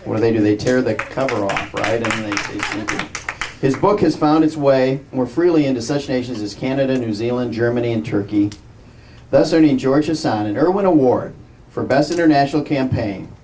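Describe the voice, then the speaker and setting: A man's voice: mid-range voice, normal speed, a little mellow, recorded from a distance, a little hiss or hum, rough recording. A man presenting an award, reading an enthusiastic introduction and stumbling on a few words.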